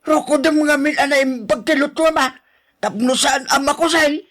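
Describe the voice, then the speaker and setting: An actor playing a grumpy, nagging husband in an Ilocano radio drama, with an Ilocano accent and a complaining, exclamatory way of talking. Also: male voice, very high voice, fairly even intonation, moderate pace, fairly bright tone. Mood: scolding.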